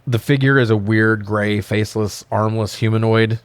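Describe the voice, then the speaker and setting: Guy w a lower voice doing a casual movie recap podcast, slangy, swears a lot, kinda wry. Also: steady tone, moderate pace, clean tone. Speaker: podcast co-host recapping a film. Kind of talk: casual comedic film recap chat.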